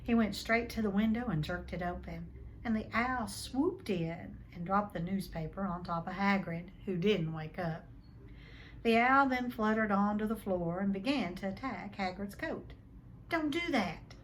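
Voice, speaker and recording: A woman's voice: mid-range voice, moderate pace, slight background noise, rough recording. A woman reading a storybook aloud expressively, like a teacher addressing her listeners. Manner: addresses listeners, dramatizes the text. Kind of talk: expressive storybook read-along.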